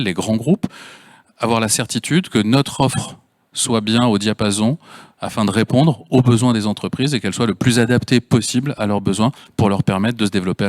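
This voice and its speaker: A man's voice: lowish voice, no room sound, clean studio sound. A man on a panel, speaking in a structured, formal way with frequent restarts. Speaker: panelist, agency department head. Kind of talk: panel discussion.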